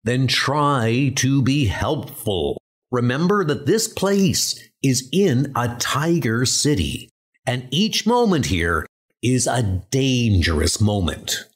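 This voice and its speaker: A man's voice: medium pitch, sing-song, slow pace, husky, voice pushed down, full character voice. A man voicing a stern, fretful talking-animal scientist in a comic dub, speaking imperiously. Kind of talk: comic dub character acting. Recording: music under the voice.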